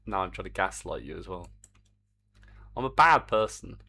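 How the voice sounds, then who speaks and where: A man's voice: low-pitched, big pitch swings, moderate pace, bright, forceful tone. A man streaming his game development work, chatting to viewers in a rambling, self-mocking way. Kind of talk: casual live-stream commentary while coding.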